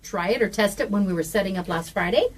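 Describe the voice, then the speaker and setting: A woman's voice: mid-range voice, fast talker, slightly rough. A woman co-hosting a casual live broadcast, talking in a chatty, playful way. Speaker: show co-host.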